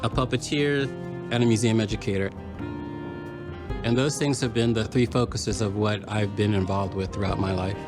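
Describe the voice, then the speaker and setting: An older man from Washington, D.C., with an American accent, explaining his work in a measured documentary interview style. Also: fairly low pitch, fairly even intonation, loud music behind the voice. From Washington, D.C., United States. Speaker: puppeteer, artist, museum curator.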